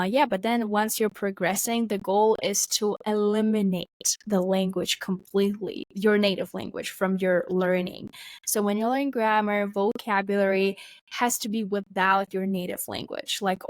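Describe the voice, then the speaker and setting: A woman's voice: mid-range voice, no echo. Chatty woman, dry close sound. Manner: chatty, fast, peppered with "like". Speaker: podcast co-host. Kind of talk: casual chat.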